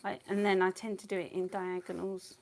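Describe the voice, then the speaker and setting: A woman's voice: medium pitch, flat delivery, fairly quick, darkish tone. A woman losing her voice, teaching watercolour painting live in a casual, rambling way and often muttering to herself as she works. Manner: chatty, rambling, thinks aloud, often mutters under her breath.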